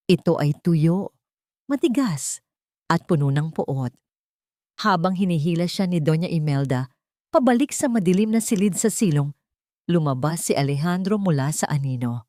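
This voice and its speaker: Low-voiced Filipino woman narrating a drama. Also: a little mellow, dry recording, studio quality. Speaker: audiobook narrator. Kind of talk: dramatic scripted story narration.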